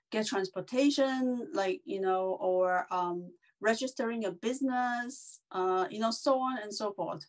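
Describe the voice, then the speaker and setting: A middle-aged woman from China, a podcast guest, talking in an animated way with many fillers and restarts over a video call. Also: medium pitch, rough recording. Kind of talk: casual interview chat.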